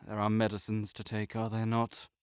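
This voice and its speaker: A man playing a sickly, proud and melancholy nobleman in an intimate audio roleplay, speaking formally with measured, old-fashioned phrasing. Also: fairly low pitch, completely flat delivery, background noise, lightly acted. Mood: dry, mildly testy.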